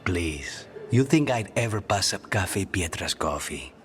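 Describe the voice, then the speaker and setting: An actor playing a suave, dry-witted male assassin in a video game, speaking in a measured, understated way. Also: low voice, expressive, soft background music. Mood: wry, dry. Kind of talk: video game dramatic voice acting.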